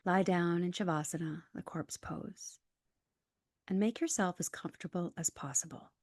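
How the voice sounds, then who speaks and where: Female yoga nidra guide, lower register, calm and soothing, scripted instructions, very dry close sound. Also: moderate pace, dry recording.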